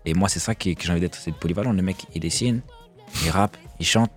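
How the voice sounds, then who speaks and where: A young man, a fashion designer, chatting casually in an interview, relaxed, often restarting and repeating himself. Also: deep voice, fast talker, faint music behind. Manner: relaxed, restarts a lot, peppers speech with "tu vois".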